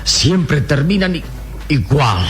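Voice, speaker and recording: An actor playing a noble, unbreakable adventure hero in a melodramatic radio drama, speaking solemnly and sententiously with a creaky deep voice. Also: male voice, big pitch swings, takes it slowly. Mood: solemn defiance. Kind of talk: melodramatic Latin American radio drama acting.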